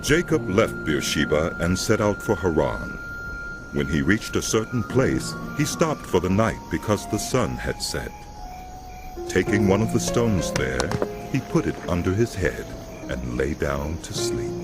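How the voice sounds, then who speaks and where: A man narrating scripture as a dramatic scripted story, measured and solemn. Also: sits low, sing-song. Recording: loud music behind voice.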